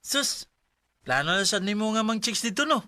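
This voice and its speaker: A woman's voice: mid-range voice, animated, moderate pace, pushed and bright, slight background noise. A woman playing a cheeky, friendly boy in a Cebuano radio drama. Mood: playful teasing. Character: cheeky, friendly boy, teasing his pal.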